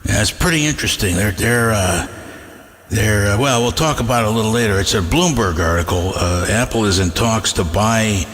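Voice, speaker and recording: Lowish-voiced male radio sidekick. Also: moderate pace, fairly bright tone. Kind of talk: casual on-air chat. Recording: reverberant, faintly noisy radio stream.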